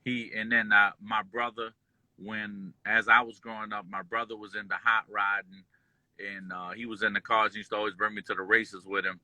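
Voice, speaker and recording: A man born in Kuwait and raised in Detroit, telling his life story as an interview guest in a relaxed, rambling way full of fillers. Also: on the low side, moderate pace, no room sound, rough recording. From born in Kuwait, raised in Detroit and New Orleans. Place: at home, just pulled into driveway.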